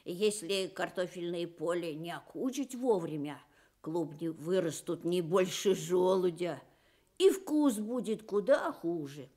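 A woman's voice: on the low side, expressive, measured pace, warm tone. A woman voicing a lazy, self-satisfied male wild boar, musing and boastful, in a children's radio story. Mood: musing, self-excusing.

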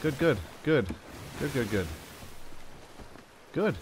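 A man's voice: medium pitch, expressive, slow, a little hiss or hum. A man hosting a gaming video, thinking aloud in casual, playful commentary with frequent exclamations. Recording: game music under the voice. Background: game music.

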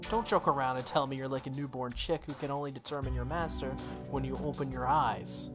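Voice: medium pitch, steady tone, a little fast, lightly acted. A game streamer voicing an irritable, bossy young female mage from a visual novel, indignant and demanding. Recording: music playing under the voice.

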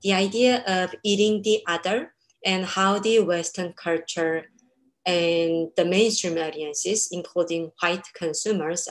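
Korean-accented woman giving an academic talk. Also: on the low side. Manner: measured, with frequent ums. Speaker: academic speaker. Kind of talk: webinar lecture. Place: home room. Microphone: laptop webcam on a video call.

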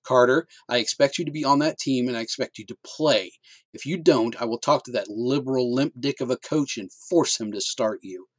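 A man putting on a domineering father who barks scorn at his family in a low, dry voice with no room around it. He is harsh and commanding, the bully of a storybook household. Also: normal speed, no room sound, natural voice. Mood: contemptuous.

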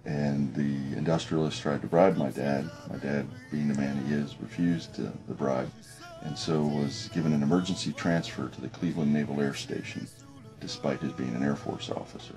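A man in late middle age, a business professor who grew up speaking French, talking thoughtfully in a documentary interview in his office, with many pauses and restarts. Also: rumbling low, steady tone, over music. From United States. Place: office with desk and window.

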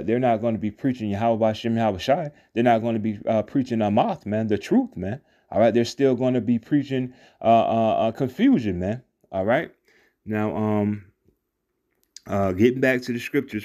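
A man teaching scripture in a casual, rambling way, full of fillers and restarts, saying "man" after many phrases and reading verses slowly. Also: medium pitch, darkish tone. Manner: reads verses slowly and deliberately. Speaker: street preacher. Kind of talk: informal scripture teaching over a screen recording. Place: not seen, voice-over on a phone screen recording.

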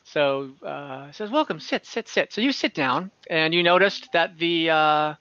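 A man runs a tabletop game as game master in a bright, slightly high voice. He improvises casually and haltingly, with some amusement. The sound is close and dry, a bit dull like video-call audio. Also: animated, no room sound. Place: at home indoors on a group video call.

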